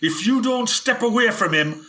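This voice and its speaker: A man's voice: extremely high pitch, expressive, normal speed, pushed and bright, full character voice. A man playing a brave, hot-headed British explorer in a melodramatic, strained style for a radio play. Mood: furious, threatening.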